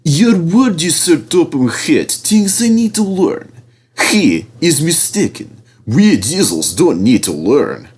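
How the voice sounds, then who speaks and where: A man's voice: fairly high pitch, very animated, a little mellow, slightly rough, pitched above the performer's own voice, full character voice. A man voicing a smug, conceited diesel engine in a storybook, with an oily, ingratiating purr. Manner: purring, ingratiating, drawn-out words.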